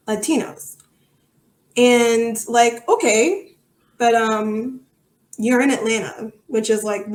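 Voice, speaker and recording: A woman in her thirties giving candid, emphatic, opinionated answers in a conversational interview. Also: mid-range voice. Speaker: podcast guest, soccer supporter. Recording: echoey room.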